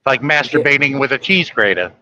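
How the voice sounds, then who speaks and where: A man's voice: mid-range voice, animated, brisk, sharp tone. A man chatting casually late at night on a live stream, blunt and griping, swearing freely.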